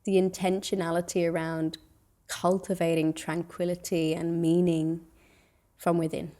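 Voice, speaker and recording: A woman leading a guided meditation in a soothing, gentle, unhurried way, leaving long pauses between phrases. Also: on the low side, level tone.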